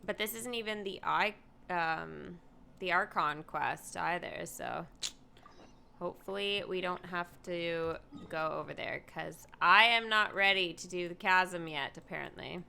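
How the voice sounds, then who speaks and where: A woman streamer giving casual, reactive gameplay commentary, expressive and thinking aloud. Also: on the low side, a little slow, vocal fry, slight background noise. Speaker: game streamer.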